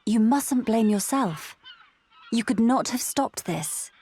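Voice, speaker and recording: A woman's voice: a little high, lively intonation, takes it slowly, mellow, husky, exaggerated voice. A woman voicing a dignified, solemn young noblewoman in a video game, formal and composed. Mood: gentle, composed. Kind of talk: dramatic video game voice acting.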